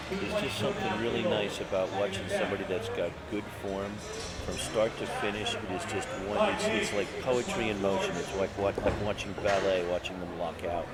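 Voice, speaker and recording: Chatty male co-commentator over loud crowd. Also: lowish voice, moderate pace. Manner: chatty, excitable reactions. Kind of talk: live sports commentary.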